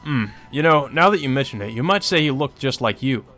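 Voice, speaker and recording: A man's voice: medium pitch, animated, a little fast, fairly bright tone. A man playing a wry, talkative male friend from a visual novel. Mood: wry, informative. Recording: music behind the voice.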